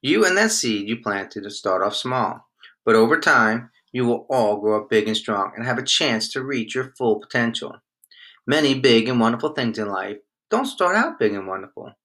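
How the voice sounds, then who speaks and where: A man reading the part of a loving storybook mother, gentle and encouraging with her children. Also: mid-range voice, expressive, moderate pace.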